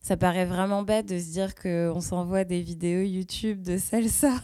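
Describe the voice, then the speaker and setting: A woman in her thirties hosting a podcast, speaking in an intimate, earnest and deliberate personal monologue. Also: lowish voice, fairly even intonation, mellow, no room sound, studio quality. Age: 30s. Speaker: podcast host.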